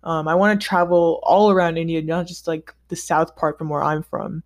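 A man vlogging in a casual, upbeat monologue, chatty and easygoing with relaxed slang. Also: fairly high pitch, normal speed. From United States. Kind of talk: casual scripted monologue ranking countries.

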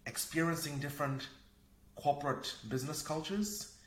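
A man's voice: fairly high pitch, moderate pace. A man from Fiji speaking as a podcast guest, explaining himself conversationally and reflectively. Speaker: podcast guest, consultant and coach. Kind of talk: conversational interview. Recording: roomy, echoey sound.

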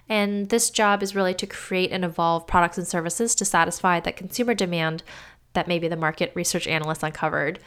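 A woman host explains a topic on her own, with a lowish voice. It comes out smooth and clear despite a gravelly edge left by a cough. She keeps a calm, measured, explanatory pace. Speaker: podcast host. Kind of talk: solo explainer talk.

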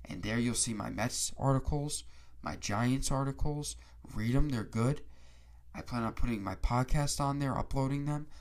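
A man talks casually on his solo sports podcast at a middling pitch. His nose is stuffed up and his throat has gone raspy from a cold. He rambles, stumbles over his words and starts his sentences over. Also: normal speed. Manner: rambling, restarts often.

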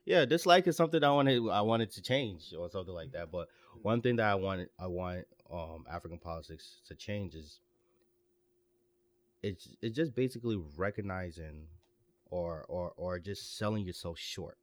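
A young man with a very deep voice shares his views in casual chat. He is earnest and often restarts, stacking repeated words as he pushes a point. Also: lively intonation, moderate pace, dry recording. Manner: earnest, stumbling restarts when worked up.

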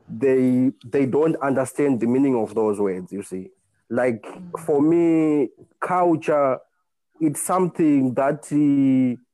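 A man with a Zimbabwean accent giving his view in an online discussion, speaking deliberately with hesitant pauses. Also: medium pitch, dark tone, no echo, low-quality recording. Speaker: participant in a group discussion. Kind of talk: spoken contribution to an online panel discussion.

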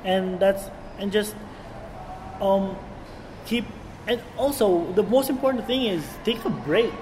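High-voiced young Filipino man, reverberant room. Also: slow pace. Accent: blended international English. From Filipino raised in Saudi Arabia. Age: young adult. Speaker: podcast guest, YouTuber. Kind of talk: casual chat. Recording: long hall reverb, faint background noise.

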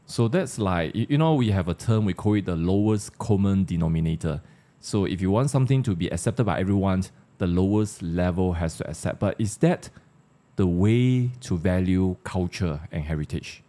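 Malaysian guy hosting a casual panel chat, lowish voice, really mellow and relaxed, keeps tossing questions out. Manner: conversational, poses questions to co-panelists. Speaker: discussion host.

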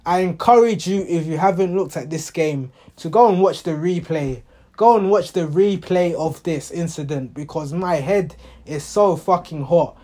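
A man with a hoarse voice recapping a football match as a passionate, exasperated fan, often repeating himself. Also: a little high, normal speed, dark tone.

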